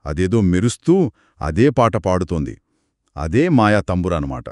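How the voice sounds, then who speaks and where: A man's voice: sits low, measured pace, no room sound, studio quality. A man co-narrating a folktale for children in a conversational style, putting questions to his listeners. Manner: animated, poses questions to listeners. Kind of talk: conversational two-person storytelling for children.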